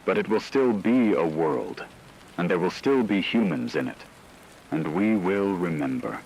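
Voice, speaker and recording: Guy playing a serious low voiced radio anchor doing a doomsday bulletin, measured and analytical, some rainy static noise behind. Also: expressive, a little mellow, low-quality recording. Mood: grave, sober. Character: grave male radio anchor reporting an apocalypse. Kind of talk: scripted emergency broadcast narration.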